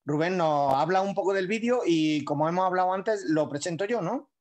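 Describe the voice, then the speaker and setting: A man's voice: high voice, a little fast, darkish tone. A middle-aged man hosting an online ceremony over a video call, easygoing and fumbling with the screen sharing as he talks. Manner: easygoing, fumbling with tech aloud. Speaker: host of the online award ceremony. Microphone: laptop webcam on a video call.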